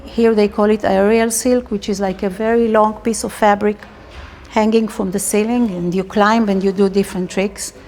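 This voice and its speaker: A woman's voice: medium pitch, fairly even intonation, normal speed, darkish tone, a little hiss or hum. A middle-aged woman from Israel with an Israeli accent, telling personal stories casually as an interviewee.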